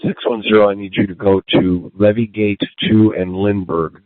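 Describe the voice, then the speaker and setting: Deep-voiced man dispatching over two-way radio. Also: level tone, normal speed, no echo. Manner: procedural, reads messages back. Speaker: emergency medical group dispatcher. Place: command post. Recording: phone-like narrow-band radio channel.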